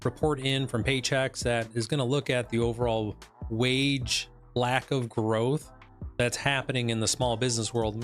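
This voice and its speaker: A hoarse man whose voice is giving out talks through a business report on a live stream. He rambles with fillers and restarts, a business coach in casual mode. Faint music plays underneath. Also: medium pitch. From West Michigan, United States. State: hoarse, losing his voice.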